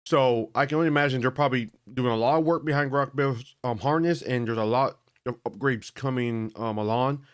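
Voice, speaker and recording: A man hosting a tech video, chatting casually and thinking aloud as he tests a game, often halting and correcting himself. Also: mid-range voice. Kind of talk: casual commentary while testing a game.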